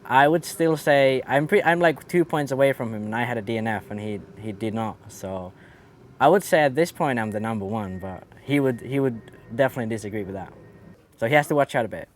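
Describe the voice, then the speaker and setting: A young man of eighteen from Finland, a racer talking casually and quickly with lots of fillers. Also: mid-range voice, a little hiss or hum. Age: young adult. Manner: quick, with many fillers and restarts. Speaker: RC car racer. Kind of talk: casual interview.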